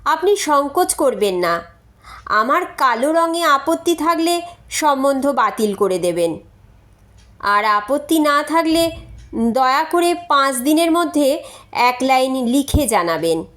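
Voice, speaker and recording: Woman reading as candid young lady, high, unhurried. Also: very animated, a bit bright, exaggerated voice. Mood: candid, polite. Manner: frank, polite, deliberate. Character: candid, courteous young woman letter writer. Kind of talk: story reading, letter read aloud.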